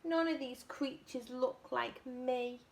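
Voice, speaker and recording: A woman voicing an anxious little monkey searching for its mum, reading rhymes in a sing-song way with high cries of protest. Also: slow. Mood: fretful. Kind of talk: storybook read-aloud.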